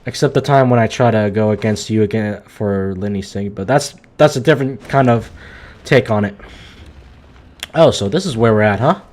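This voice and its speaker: A man's voice: lowish voice, normal speed, a little mellow. A man livestreaming a video game with casual, slangy, emphatic commentary, often stumbling and repeating himself.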